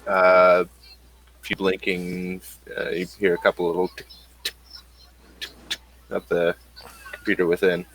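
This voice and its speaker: A man running a tabletop role-playing game, describing scenes slowly with lots of fillers. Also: low-pitched, monotone, fairly bright tone, a little hiss or hum, poor audio. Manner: halting, with many fillers. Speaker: game master.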